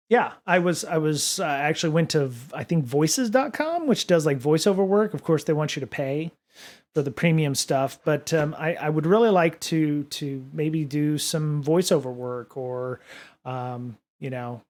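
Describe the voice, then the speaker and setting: A man's voice: a little high, a little slow. A man hosting a casual livestream from his home studio, rambling with lots of fillers and restarts while answering viewer comments. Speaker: podcast and livestream host. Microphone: studio microphone at desk.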